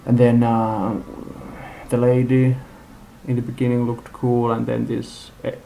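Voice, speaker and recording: A man giving an unscripted, casual movie-review rant in a halting, blunt way, in a homemade recording. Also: mid-range voice, monotone, vocal fry, dark tone, slight background noise, rough recording. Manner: halting, rambling delivery. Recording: homemade, radio music playing behind. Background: music from a live radio.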